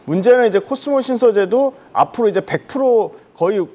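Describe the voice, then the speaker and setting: A man's voice: high-pitched, expressive, normal speed, mellow. A man giving explanatory, emphatic stock commentary as a guest analyst on a broadcast.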